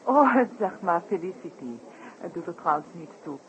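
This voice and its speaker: A woman's voice: lowish voice, lively intonation, moderate pace, lightly acted. An actress playing a self-assured, teasing female trapeze artist in a 1960s radio drama, casual and playfully flirtatious. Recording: noisy muffled 1960s radio recording.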